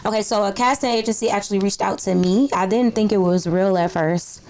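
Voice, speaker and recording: A woman from Detroit with a slightly raspy voice, chatting informally and in slang as a podcast guest. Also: medium pitch, brisk, darkish tone. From Detroit, United States. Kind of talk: casual interview chat.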